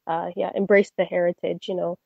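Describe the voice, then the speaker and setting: A woman's voice: on the low side, little pitch movement, a little fast, gravelly, no room sound, muffled recording. A young woman from Canada, a singer answering interview questions in a friendly, chatty way with frequent fillers. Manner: friendly, many fillers and restarts. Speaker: guest singer.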